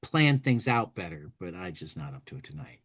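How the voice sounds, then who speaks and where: A man's voice: deep voice, big pitch swings, brisk, breathy edge. A man hosting a casual talk show, reading a comic magazine aloud expressively and commenting with loose asides. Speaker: podcast host.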